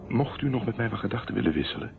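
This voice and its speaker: An actor playing a shrewd, dry, sardonic investigator in a Dutch radio drama, asking insistent questions. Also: male voice, lowish voice, animated, rapid-fire, creaky. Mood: dry, pressing. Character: shrewd, sardonic male investigator, probing and unafraid. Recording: phone-like old radio recording, faint noise.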